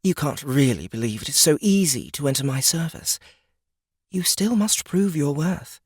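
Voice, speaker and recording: A woman playing a stern goddess of nature in an audiobook, speaking formally and deliberately, with an imperious manner. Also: sits low, expressive, slightly rough, no room sound, clean studio sound. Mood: stern, testing. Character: stern, regal goddess of nature, solemn and commanding. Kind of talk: scripted audiobook character acting.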